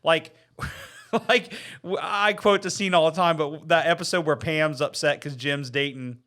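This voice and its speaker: A man's voice: a little high, fairly even intonation, measured pace, a bit bright. A man co-hosting a podcast in casual chat, telling stories with enthusiasm and often repeating himself. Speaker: podcast co-host.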